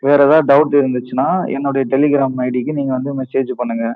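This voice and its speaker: A man with a medium-pitched voice demonstrates a product in instructional style. The sound is dry and narrow, like a phone call. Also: level tone, a little fast, no room sound. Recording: tinny, dry phone-like audio.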